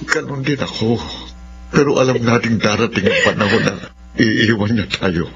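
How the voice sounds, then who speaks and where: A man's voice: on the low side, normal speed, fairly bright tone, a little hiss or hum. An actor playing a patient, grieving middle-aged father with a Filipino accent in a melodramatic radio drama. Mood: sorrowful pleading. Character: grieving, patient father trying to calm his wife. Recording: dull radio recording under music.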